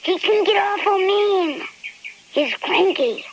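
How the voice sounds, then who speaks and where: A performer voicing an innocent, eager young animal in an animated film, childlike and guileless, with an American accent. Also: very high-pitched, slow, slight background noise, full character voice. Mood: glum. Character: eager, innocent young fox and hound puppy. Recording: music behind the voice.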